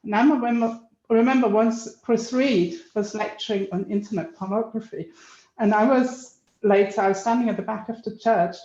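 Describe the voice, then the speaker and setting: A woman's voice: a little high, moderate pace, mellow. A woman lecturer tells a light, amused anecdote, laughing as she goes. She speaks over a video call. The sound is dull and roomy. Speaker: law professor.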